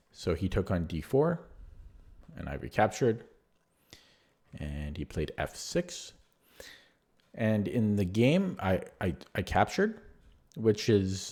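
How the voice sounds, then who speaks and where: Hoarse man talking through a chess game. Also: sits low, a little mellow. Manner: rambling, many fillers and restarts. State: losing his voice. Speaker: chess player reviewing his own game. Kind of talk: casual spoken chess analysis.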